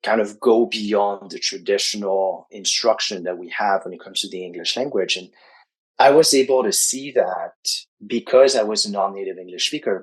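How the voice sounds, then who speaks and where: Man, husky, lowish voice, measured explanations. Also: poor audio. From Quebec, Canada. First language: French. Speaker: writing coach, company founder. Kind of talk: interview answers, personal story.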